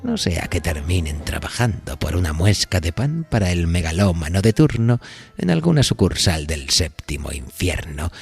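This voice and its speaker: A man narrating a scripted horror podcast in a solemn, literary, deliberate storytelling manner. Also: deep voice, creaky, breathy edge, dry recording. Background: music bed.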